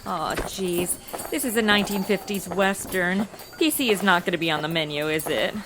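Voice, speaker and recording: A woman's voice: on the low side, moderate pace, over music, lightly acted, low-quality recording. A woman playing a sassy modern young woman in a comedy audio drama, sarcastic, blunt and exasperated. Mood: baffled, snarky. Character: sassy modern young woman stuck in old radio westerns.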